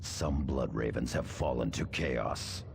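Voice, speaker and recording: An actor playing a grim, stoic veteran space marine scout sergeant in a video game, terse and gravelly. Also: male voice, very deep voice, slow pace, full character voice. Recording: loud music over the voice.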